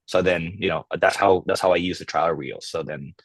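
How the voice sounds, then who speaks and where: Laid-back male guest, very low voice, dry close sound, loose rambling delivery. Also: no echo. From Philippines. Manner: relaxed, halting, many fillers and restarts. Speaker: podcast guest, content creator. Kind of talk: casual interview chat.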